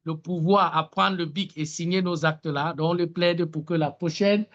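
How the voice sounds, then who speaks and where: Man, high voice, passionate pleading, stammering repeats, dull lo-fi call audio. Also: steady tone, moderate pace, a bit bright. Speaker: nominee and farming advocate.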